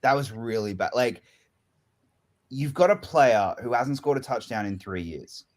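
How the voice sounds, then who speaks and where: A man's voice: mid-range voice, expressive, measured pace. A man in casual sports chat, teasing his co-host and stating blunt opinions with emphasis.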